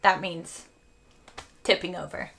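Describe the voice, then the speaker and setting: A woman teacher reading a children's story aloud in an animated way, stopping to explain things to children. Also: fairly low pitch, takes it slowly, creaky.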